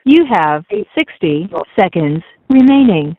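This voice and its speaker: A woman's voice: fairly low pitch, very animated, slow pace, no room sound. A woman delivering recorded announcements for an automated phone system. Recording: tinny phone line recording.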